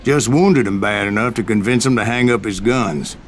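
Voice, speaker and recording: An actor playing a grizzled aging American gunslinger who tells of his exploits in a gruff, boastful drawl for a Western game. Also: lowish voice, normal speed, a little hiss or hum, lightly acted. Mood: boastful, reminiscing. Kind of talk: Western game storytelling narration.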